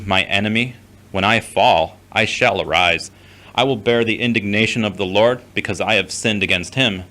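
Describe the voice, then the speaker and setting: A man's voice: fairly low pitch, a bit bright. An actor playing a guarded, skeptical man in an audio drama, with a terse, dry, blunt delivery.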